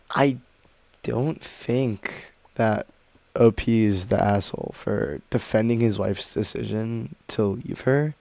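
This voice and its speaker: A man's voice: lowish voice, creaky, a little mellow, dry recording. A man reading online stories aloud and chatting about them in a rambling, hesitant way with many fillers, while a little sick. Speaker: reddit story reader. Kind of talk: casual reading with commentary.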